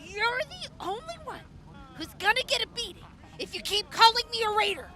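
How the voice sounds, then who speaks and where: A woman's voice: extremely high pitch, big pitch swings, moderate pace. An actress playing a blunt, sardonic young female medic in a post-apocalyptic radio drama. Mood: irritated. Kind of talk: audio drama acting.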